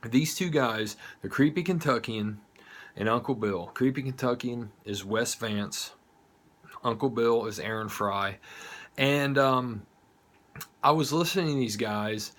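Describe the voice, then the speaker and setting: Male online show host, Southern US accent, mid-range pitch, unhurried, informal heartfelt delivery. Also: sharp tone. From United States, not from the South. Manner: informal, blunt, occasional swearing. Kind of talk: casual heartfelt monologue to viewers.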